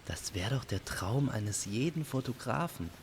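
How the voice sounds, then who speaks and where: A man's voice: mid-range voice, lively intonation, unhurried, slight background noise, voice pushed up. A man voicing a curious, puzzled wanderer in a fantasy audio drama, musing and questioning aloud to himself. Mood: musing, wistful. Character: curious, puzzled male wanderer in a misty forest.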